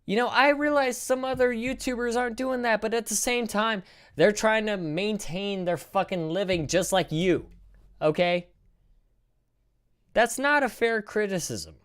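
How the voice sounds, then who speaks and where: A man in his thirties hosting a casual live stream, reacting in a rambling, profane, animated way. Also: high voice, a little slow, clear, resonant tone. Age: 30s.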